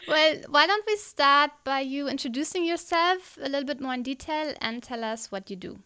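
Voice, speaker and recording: A woman's voice: sits high, lively intonation, normal speed, sharp tone. A woman hosting a podcast and interviewing a guest over an online call, with friendly, conversational questions. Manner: friendly, scripted intro then conversational questions. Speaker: podcast host.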